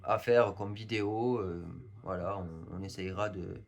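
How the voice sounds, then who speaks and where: A man's voice: sits low, measured pace. A man in his thirties chatting casually beside his partner, hesitant and full of fillers. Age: 30s. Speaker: vlogger's partner. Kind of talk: casual chat.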